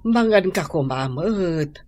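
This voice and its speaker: A husky, exasperated Ilocano mother for radio drama. She speaks slowly and her pitch swings widely as she pleads and scolds, in a dry, close recording. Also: lively intonation, darkish tone, dry recording. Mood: pleading, scolding. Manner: pleading, admonishing. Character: worried, exasperated mother scolding her heartbroken grown daughter.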